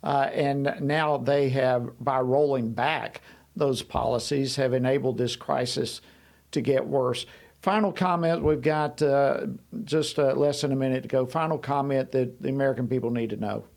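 Male news host, brisk, a mid-pitched voice. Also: fairly even intonation, vocal fry, darkish tone. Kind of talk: broadcast interview hosting.

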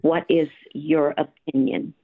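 A woman's voice: low voice, flat delivery, slow, slightly rough, no echo. A woman calling in to a show, talking politely and conversationally. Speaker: caller. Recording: muffled narrow phone line.

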